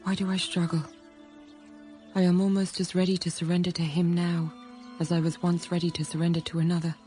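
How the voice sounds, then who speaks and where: A woman's voice: on the low side, level tone, a little fast, mellow, faint music behind, close to an everyday voice. An actress playing a troubled young woman who narrates her inner thoughts in a radio drama, intimate and introspective. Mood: conflicted.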